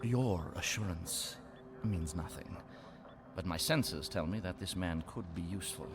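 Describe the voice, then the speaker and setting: A man's voice: low voice, a little slow, music in the background. An actor playing a cruel, imperious male warlord who barks threats and clipped orders in a space opera audio drama. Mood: cold, commanding. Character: menacing Imperial warlord, a cruel commanding man.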